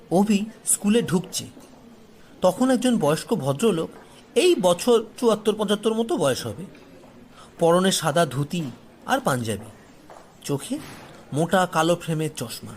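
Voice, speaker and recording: High-voiced, expressive male storyteller. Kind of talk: dramatic scripted horror story narration. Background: faint background music.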